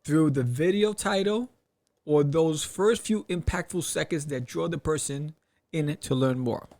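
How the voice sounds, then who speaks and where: A man hosting a podcast, giving casual advice and reading aloud with his own commentary, animated and punctuating points with rhetorical questions. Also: on the high side, a little slow. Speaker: podcast host.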